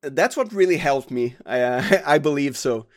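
A man with a Brazilian accent, a native Portuguese speaker, talking casually and haltingly as an interview guest. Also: medium pitch, expressive. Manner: halting, informal, often says "like". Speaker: sound designer and podcast guest.

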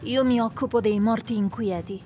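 A woman playing a calm, ruthless sorceress in a radio drama. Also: a little high, moderate pace, soft background music, lightly acted. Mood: urgent. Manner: calm, clinical. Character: female sorceress, cool and ruthless. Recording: long hall reverb.

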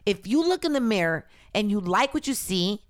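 Raspy-voiced woman podcast host. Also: medium pitch, very animated, moderate pace, no room sound, clean studio sound. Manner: blunt, candid, slips into Spanish. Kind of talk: casual chat.

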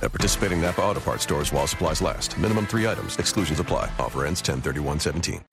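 A man's voice: a bass voice, quick pace. A man reading a scripted radio commercial as an announcer. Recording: voice over clear music bed.

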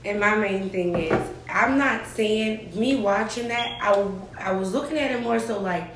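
A woman's voice: mid-range voice, a little slow, roomy sound, noisy background. A woman speaking bluntly and emphatically, with swearing, in a casual panel discussion.

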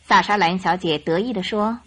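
A woman narrating a radio drama in an expressive storytelling style. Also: mid-range voice, moderate pace. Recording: music behind the voice.

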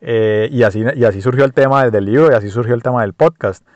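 Fast-talking Colombian man, hesitant. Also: medium pitch, darkish tone, dry recording, dull audio. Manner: hesitant, many fillers and restarts. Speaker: podcast host. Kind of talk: casual personal monologue.

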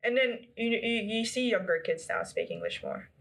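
A woman's voice: a little high, huge pitch swings, normal speed, fairly bright tone. A young woman from the Philippines, chatting casually as a podcast co-host, full of fillers, restarts and laughs. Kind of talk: casual chat.

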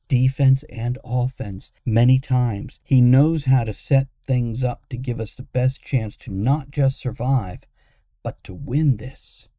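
A man's voice: medium pitch, dark tone, no room sound, studio quality, lightly acted. A man voicing a confident young woman adventurer who speaks in a firm, commanding way.

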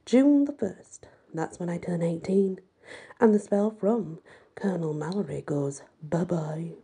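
A woman reading a first-person story aloud with expressive, dramatic delivery and a husky, breathy voice. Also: fairly low pitch, unhurried. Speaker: amateur audiobook reader.

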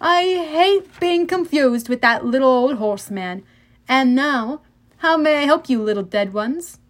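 A woman voicing a smooth, condescending male underworld ferryman with a British-leaning accent, as in an audiobook. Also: high-pitched, lively intonation, a little slow. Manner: suave, drawling, condescending.

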